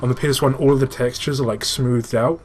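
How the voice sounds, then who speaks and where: A man's voice: medium pitch, fairly even intonation, fast talker. Casual sweary male gamer, noisy recording. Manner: informal, sweary, explains technical details. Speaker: let's play host playing the game. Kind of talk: casual gaming commentary.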